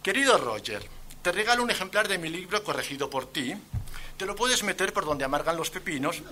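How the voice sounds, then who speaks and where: A man's voice: high-pitched, big pitch swings, fairly quick, sharp tone, a little hiss or hum. A man with an accent from Spain giving a conference lecture as a guest speaker, with wry, ironic asides. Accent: Spanish from Spain. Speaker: guest speaker, antiquarian bookseller. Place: lecture event at a university.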